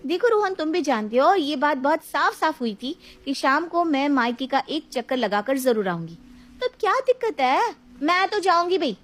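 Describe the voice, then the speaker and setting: A woman plays a petulant, entitled young married woman in an audio moral story. Her voice is high and swings widely in pitch. She pushes insistently for her own way, with a whiny edge. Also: big pitch swings, moderate pace. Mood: insistent, indignant. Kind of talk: dramatized moral story voice acting.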